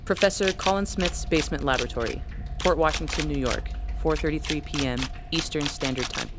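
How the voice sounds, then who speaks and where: A man's voice: on the high side, a little fast, very noisy, close to an everyday voice. A man playing a formal announcer who reads out scene locations and times for an audio drama. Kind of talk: scripted announcement.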